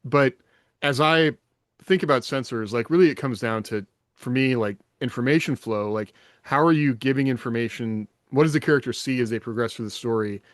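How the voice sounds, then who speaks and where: A man's voice: medium pitch, a little fast, no echo, clean studio sound. A man co-hosting a podcast in casual conversation, with a tongue-in-cheek way of talking. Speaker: podcast co-host. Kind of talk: casual chat.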